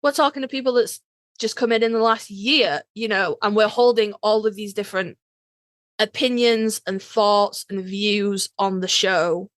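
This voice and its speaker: A woman's voice: medium pitch, fairly even intonation, normal speed, no echo, clean studio sound. A woman co-hosting a podcast in casual fan chat, informal and emphatic, repeating phrases to drive a point home. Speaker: podcast co-host.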